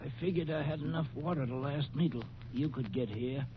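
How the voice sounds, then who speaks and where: An actor playing a tough, forgiving old injured prospector with weary, faltering, folksy speech in a radio western. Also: male voice, a little high, brisk, natural voice. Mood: wistful. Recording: dull old radio recording, faint noise.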